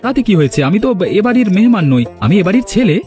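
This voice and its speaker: A man's voice: high voice, very animated, very fast, dark tone. A man voicing a devoted, protective young son in a fairy-tale cartoon, questioning eagerly and offering reassurance. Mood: teasing, cheerful. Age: young adult. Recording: music behind the voice.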